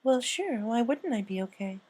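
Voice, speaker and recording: A woman's voice: on the high side, lively intonation, moderate pace, a little mellow, breathy edge, pitched above the performer's own voice. A woman voicing a young female cartoon pony who is anxious and sheepish, hesitantly insisting that all is fine. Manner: sheepish, hesitant, over-assuring.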